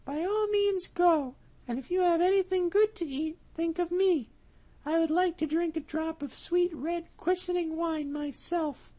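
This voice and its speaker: A tiny, trusting storybook mouse with a squeaky, excitable voice. It sits very high above her own voice, loud and bright with eagerness. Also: a little slow. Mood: eager.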